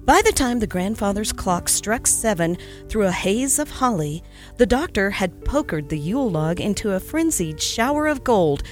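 A woman narrates a classic story aloud with expressive, lilting delivery. Her pitch moves in a lively way through each sentence. Quiet music plays in the background. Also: moderate pace. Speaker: podcast host and story narrator.

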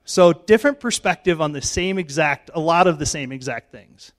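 A middle-aged male pastor, hoarse and losing his voice, giving a chatty, self-joking sermon in church on a handheld mic. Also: a little high, lively intonation, moderate pace. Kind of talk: informal sermon. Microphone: handheld microphone.

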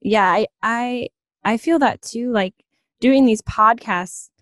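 A woman's voice: fairly high pitch, slow, a bit bright, no room sound, studio quality. A woman hosting a podcast, chatting casually with a guest in an encouraging, affirming way. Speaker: podcast host. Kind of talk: casual interview chat.

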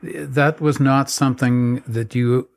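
An interviewer with a middle-pitched voice asks gentle, attentive questions. The delivery is calm, with frequent brief affirmations while the guest speaks. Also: slow, gravelly. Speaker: interviewer, host.

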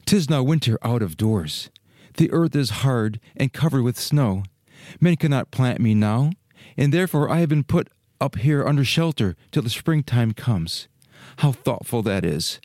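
A man's voice: medium pitch, expressive, measured pace, darkish tone, no room sound, lightly acted. Guy doing a naive little fir tree for a kids story, wistful n vain, very up and down voice, dry sound. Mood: wistful, lonely. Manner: musing aloud in rhetorical questions. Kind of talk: dramatized children's storybook reading.

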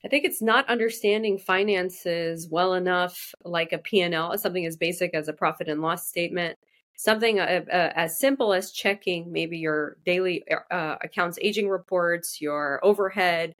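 A woman's voice: on the low side, a bit bright. A woman guest from Iraq, a dentist, talking casually in an interview and often restarting her sentences. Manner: fast, restarting, self-correcting speech.

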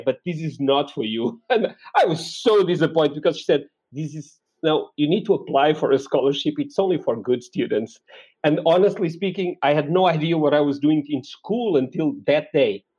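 A man's voice: fairly high pitch, a little mellow, dull audio. A man in his forties from Portugal with a Portuguese accent, telling personal stories in a reflective, conversational way as an interview guest. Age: 40s.

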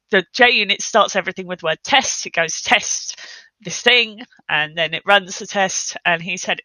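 A woman's voice: on the low side, sharp tone, no room sound, rough recording. A middle-aged woman chatting casually and emphatically as a podcast guest, telling stories in an interview. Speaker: podcast guest, software consultant.

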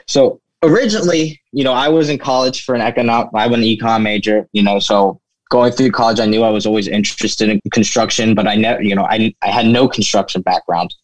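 A young man speaks as an interview guest, telling his story in a loose, casual way. His voice sits a little low and is peppered with "you know." The recording is close and dry. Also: steady tone, a little fast, dry recording, poor audio. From United States, born in Turkey. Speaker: interview guest, flooring business owner.

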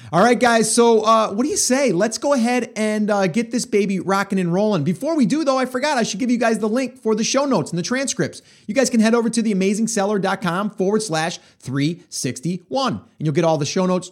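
A hoarse middle-aged man hosts his podcast with upbeat, chatty energy. His voice sits a little higher than most men's. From upstate New York. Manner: upbeat, chatty, lively delivery. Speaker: podcast host. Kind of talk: casual solo podcast talk.

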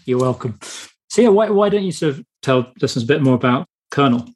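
A man hosting a podcast, asking friendly, halting questions with frequent restarts. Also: mid-range voice, animated, a little mellow, husky. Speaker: podcast host. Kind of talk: casual interview hosting.

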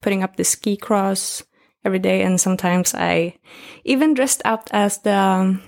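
A woman in her twenties from northern Sweden, speaking English with a Swedish accent in a relaxed, chatty interview. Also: mid-range voice, moderate pace, vocal fry. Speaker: guest. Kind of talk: casual interview talk.

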